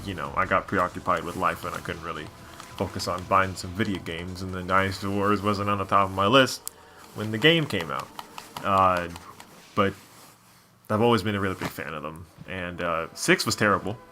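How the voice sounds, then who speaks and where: A man's voice: sits low, moderate pace, bright, forceful tone, slight background noise. A man doing casual gameplay commentary as a co-host, rambling and opinionated like a devoted fan. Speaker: gaming video co-host.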